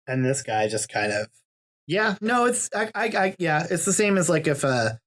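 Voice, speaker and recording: A man's voice: on the high side, sing-song, moderate pace, fairly bright tone. A man who is a guest on a podcast, chatting casually and thinking aloud with hesitant starts.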